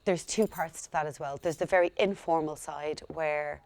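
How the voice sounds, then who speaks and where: A young woman working as a school liaison coordinator, explaining her work in a steady, earnest way. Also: sits low, moderate pace. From Ireland. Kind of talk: speaking to camera about her work.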